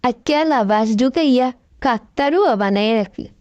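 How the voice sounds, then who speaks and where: A woman voicing a high-pitched bossy cartoon lady leader. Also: expressive, normal speed, lo-fi sound, noisy background. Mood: scolding, disgusted. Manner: scolding, dramatic, colloquial. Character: bossy, scolding deposed female leader, mocking and theatrical. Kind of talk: comic cartoon voice acting.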